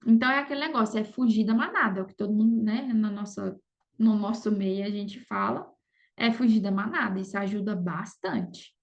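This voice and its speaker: A woman's voice: mid-range voice, fairly quick. A woman with a Brazilian Portuguese accent giving casual advice as a podcast guest, informal, often checking that she is understood, on slightly lo-fi audio. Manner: informal, frequent check-in tag questions. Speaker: podcast guest, affiliate marketer.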